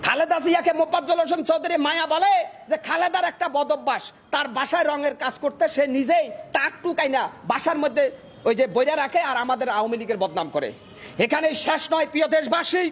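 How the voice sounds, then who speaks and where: Very high-pitched, fiery Bangladeshi male orator. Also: fast talker. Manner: impassioned, declamatory, repetitive rhetorical phrasing, often shouting. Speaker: political speaker, self-described hawker. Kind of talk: fiery political speech.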